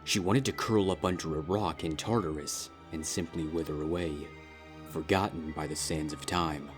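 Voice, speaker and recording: Deep-voiced man narrating a story. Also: fairly even intonation, normal speed. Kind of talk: scripted dramatic story narration. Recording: music under the voice.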